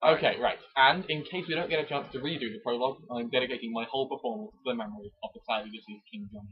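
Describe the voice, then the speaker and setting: A man's voice: medium pitch, racing, breathy edge. A man giving a theatrical, mock-dramatic amateur reading of a romance novel, breaking off for joking asides. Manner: jokey, theatrical, breaks off to comment. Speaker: amateur reader and commentator. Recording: dull, muffled lo-fi recording.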